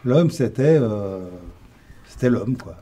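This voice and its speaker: Husky-voiced plain-spoken older man. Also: mid-range voice, lively intonation, takes it slowly, dark tone. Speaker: interviewee. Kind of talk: casual conversation.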